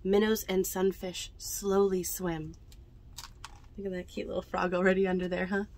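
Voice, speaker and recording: A young woman reads picture books aloud to children in an unhurried storytelling manner, adding chatty asides about the pictures. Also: lowish voice, little pitch movement, a little mellow. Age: young adult. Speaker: library storytime reader. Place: indoors among bookshelves in a small library bookmobile.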